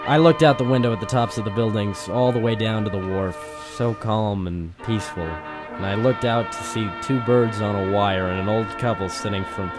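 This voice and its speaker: An actor playing a weary, lovestruck, wisecracking private eye in an old-time radio detective drama. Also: male voice, medium pitch, close to an everyday voice.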